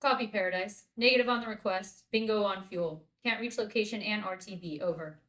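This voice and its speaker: A woman playing a steady, commanding military flight leader in a dramatic script table read. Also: mid-range voice, a little fast, natural voice, rough recording. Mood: terse, commanding.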